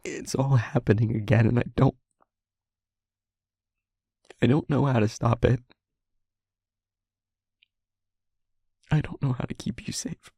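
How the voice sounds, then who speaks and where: A man playing a guilt-ridden demon lover in an intimate audio roleplay, speaking in a halting, breathy, confessional hush. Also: medium pitch, dry recording, studio quality. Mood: anguished, helpless. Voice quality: breathy, husky, whispery.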